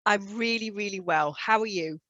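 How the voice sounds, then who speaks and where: A woman's voice: mid-range voice, normal speed, no echo, lo-fi sound. Woman, interview host, enthusiastic, dry close sound. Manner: warm, enthusiastic, effusive praise. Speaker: host, hypnosis trainer. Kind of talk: video call interview.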